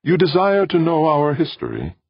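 Ancient machine, sweet high tenor. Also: male voice, wildly swooping pitch, vocal fry, husky, dry recording, dull audio, heavily acted. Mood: calm, solemn. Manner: measured, formal, unemotional pronouncements. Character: ancient self-aware alien machine, calm, sweet-voiced, solemn.

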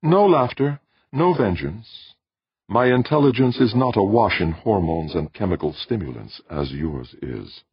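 Ancient machine, sweet high tenor. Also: male voice, big pitch swings, breathy edge, no room sound, highs cut off, heavily acted. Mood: calm, solemn. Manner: measured, formal, unemotional pronouncements. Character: ancient self-aware alien machine, calm, sweet-voiced, solemn.

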